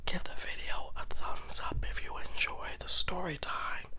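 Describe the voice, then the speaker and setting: A person telling a true crime story in an ASMR style, whispering softly and deliberately, with pauses and repeated phrases. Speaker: host and storyteller of an ASMR channel.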